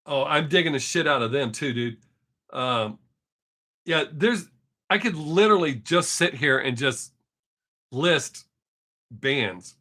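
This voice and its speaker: A man's voice: a little high, lively intonation, slow, pauses often, sharp tone. A man in his fifties with a Texan accent, chatting casually and rambling on his live stream with some cursing. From Texas. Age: 50s. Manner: rambling, profane, chatty with viewers. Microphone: webcam mic.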